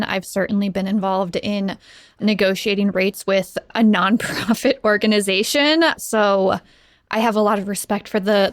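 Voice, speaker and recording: A woman with noticeable vocal fry chats casually as a podcast guest. Her pitch is average, and the sound is dry and close. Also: no room sound. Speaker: podcast guest, audio producer. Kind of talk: casual panel chat.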